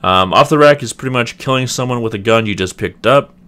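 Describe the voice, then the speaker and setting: A young man with a slightly raspy voice, casually reviewing a video game and hedging often. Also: medium pitch, fairly quick, fairly bright tone. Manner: rambling, hedging, many ums and restarts. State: clearing throat, voice getting raspy. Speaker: gaming video host. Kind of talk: casual gameplay review commentary.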